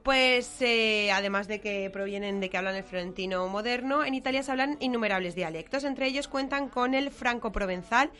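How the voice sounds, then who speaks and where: A woman with a Spanish accent from Spain, co-hosting a casual radio podcast segment and leading it with lively talk and frequent laughs. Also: a little high, normal speed, hardly any pauses. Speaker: podcast co-host.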